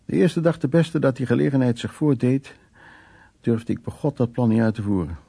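Radio drama: worldly, blunt male narrator, dark and creaky, wry earthy delivery. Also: mid-range voice, expressive, normal speed. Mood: earthy, confessional. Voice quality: gravelly, husky, dark. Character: worldly, blunt man recounting wartime escapades in Berlin.

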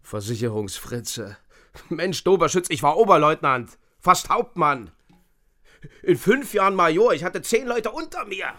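A disgraced East German officer in a radio drama. His highish voice swoops around as he stammers, maudlin and agitated, full of bitterness and self-pity. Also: very animated, unhurried, bright, forceful tone, exaggerated voice. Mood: bitter, boastful. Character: bitter, drink-seeking fallen East German officer, self-pitying, volatile. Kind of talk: radio crime drama acting.

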